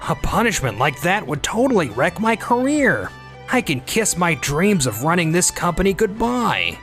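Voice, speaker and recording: An actor playing a defensive, middle-aged company executive in disgrace, stammering in a manga dub. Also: male voice, high-pitched, sing-song, moderate pace, fairly bright tone, soft background music, full character voice. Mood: indignant.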